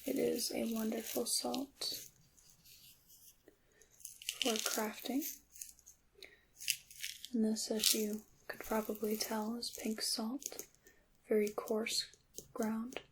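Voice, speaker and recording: A woman playing a gentle, knowing witch in an ASMR roleplay, speaking in a breathy half-whisper with deliberate, slightly formal phrasing. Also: fairly high pitch, little pitch movement, slight background noise, poor audio. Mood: hushed, instructive. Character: gentle, knowing witch teaching her craft. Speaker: ASMR performer.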